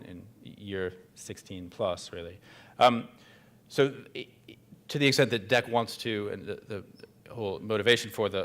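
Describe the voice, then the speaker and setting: Man, conference presentation, somewhat low voice, halting delivery, frequent fillers and restarts. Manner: hesitant, many fillers and restarts. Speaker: economic consultant presenting at a conference. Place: conference hall.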